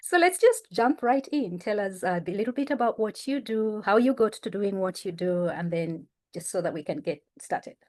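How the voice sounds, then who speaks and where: A woman's voice: medium pitch, animated, normal speed, few pauses, darkish tone. Effusive woman hosting an interview. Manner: welcoming, effusive, frequent affirming murmurs. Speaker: summit host.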